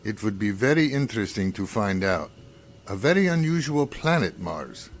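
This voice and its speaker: A man playing a wry, detached scientist in a science fiction radio drama, musing dryly and deliberately. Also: lowish voice, big pitch swings, a little hiss or hum. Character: wry, detached, brooding male scientist doctor on a space crew.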